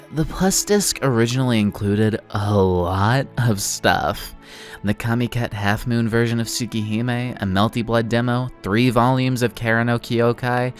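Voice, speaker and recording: A man giving a casual video essay commentary, sardonic and self-deprecating, with informal wording. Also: mid-range voice. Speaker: online video essayist, host of the channel. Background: background music.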